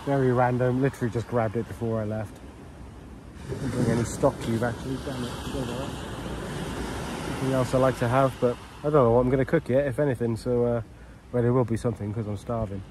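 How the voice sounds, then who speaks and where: A man's voice: medium pitch, steady tone, a little fast. A man, chatting casually and in an offhand way as a fishing and cooking vlogger. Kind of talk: casual chat to camera. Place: open shingle beach beside a shelter. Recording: noisy outdoor ambience, wind and waves.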